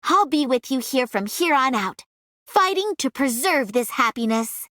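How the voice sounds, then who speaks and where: A woman voicing a devoted, tender young game heroine with a gentle, sincere manner. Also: sits high, animated, a little slow, a little hiss or hum, heavily acted. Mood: tender, grateful. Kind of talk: scripted game character voice line.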